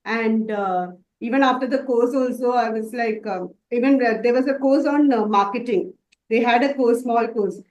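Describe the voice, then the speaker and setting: A woman's voice: a little high, moderate pace, darkish tone. Hesitant woman on an echoey call. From Dubai. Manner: hesitant, many fillers and restarts. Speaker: makeup artist student. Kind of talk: interview answers.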